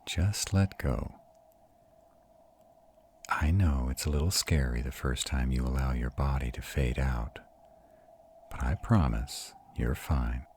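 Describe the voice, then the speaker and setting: A man as a very deep, slow vampire lover. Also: darkish tone, slight background noise, exaggerated voice. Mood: gentle, reassuring. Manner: slow, soothing, reassuring. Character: arrogant male vampire, tender and protective mentor to his mate. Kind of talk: intimate roleplay audio drama.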